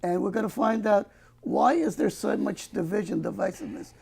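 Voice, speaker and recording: Gravelly older man hosting a talk show. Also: high-pitched, brisk, darkish tone. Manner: folksy, joking asides. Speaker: host. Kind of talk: local access TV talk show.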